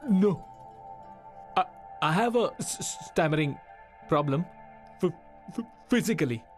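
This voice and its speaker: Film role: polite, nervous young trainee, marked stammer, music in background. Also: male voice, on the high side, sing-song, a little slow, darkish tone. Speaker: call centre trainee.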